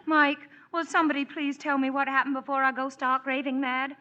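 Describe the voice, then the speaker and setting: A woman playing a spirited, proud society bride with quick, sharp retorts, in 1940s radio acting style. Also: sits high, little pitch movement, natural voice. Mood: cool. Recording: dull vintage radio broadcast with faint hiss.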